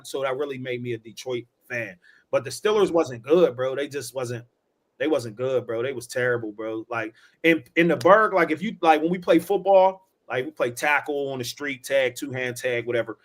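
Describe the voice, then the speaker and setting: A man's voice: on the high side, normal speed. A man in his forties with a Pittsburgh accent, telling loose, slangy stories on a casual podcast and tossing in "bro" and "yo". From Pittsburgh, USA. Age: 40s. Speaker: podcast host. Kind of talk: casual chat.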